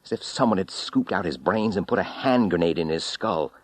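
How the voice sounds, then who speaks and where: A man's voice: low-pitched, expressive, brisk, no room sound. A man voicing a bitter, haunted former astronaut in an audiobook story, wry, weary and intense. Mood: anguished, urgent. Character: bitter, haunted male former astronaut recounting his ordeal.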